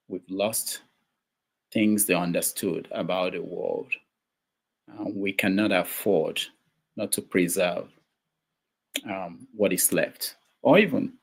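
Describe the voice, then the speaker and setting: Deep-voiced male talk show host. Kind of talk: hosting an online talk show interview.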